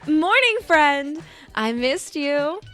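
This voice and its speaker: Woman acting a male robot attendant, high-pitched, cheerful, theatrical, affectionate, gushing, storybook character. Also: big pitch swings, extremely slow, bright, forceful tone, soft background music, full character voice. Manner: exuberant, dramatic, gushing.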